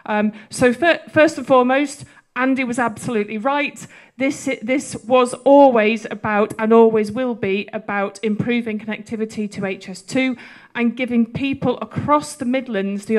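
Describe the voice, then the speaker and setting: A woman's voice: fairly high pitch, fairly even intonation, normal speed. A woman in her forties speaking as a transport official on a panel in an event hall, friendly and filler-heavy. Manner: friendly, many fillers, self-aware about her loud voice. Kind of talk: panel talk. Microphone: handheld mic.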